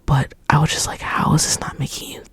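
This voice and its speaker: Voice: fairly quick, a bit bright, no room sound. A young adult ASMR creator whispering a rambling chat at home, often repeating words over and over as triggers. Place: home indoors, people upstairs.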